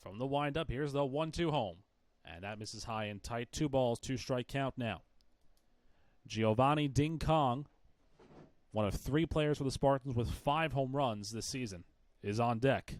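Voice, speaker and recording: A man's voice: mid-range voice, measured pace, dry recording. A man calling a baseball game live as play-by-play commentator.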